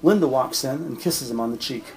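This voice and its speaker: A man narrating a crime audio drama from a script, measured and descriptive. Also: medium pitch, low-quality recording. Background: music.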